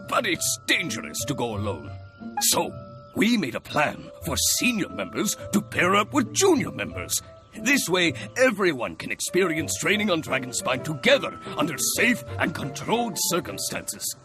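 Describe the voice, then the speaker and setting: A man's voice: on the high side, wildly swooping pitch, moderate pace, music in the background. A man voicing a jovial, theatrical leader of an adventurers' guild in a video game. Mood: hearty explaining. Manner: hearty, exclamatory, theatrical.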